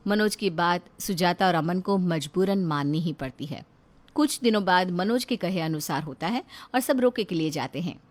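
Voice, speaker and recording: A woman reading scripted narration as the storyteller of a family tale. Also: lowish voice.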